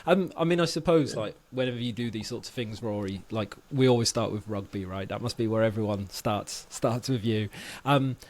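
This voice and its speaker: A man's voice: lowish voice, fairly quick, a little mellow. A man from Wales who grew up in England, hosting a podcast in a chatty, friendly way and calling his guest "mate". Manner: chatty, lots of fillers and "mate". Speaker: podcast host. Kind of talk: casual interview chat.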